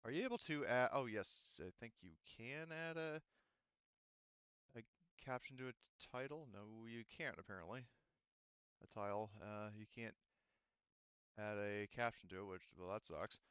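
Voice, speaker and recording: Smooth-voiced game master, dull audio. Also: male voice, on the low side, normal speed, pauses often, a bit bright, dry recording. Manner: rambling, many fillers and restarts. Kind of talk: narrating a tabletop game.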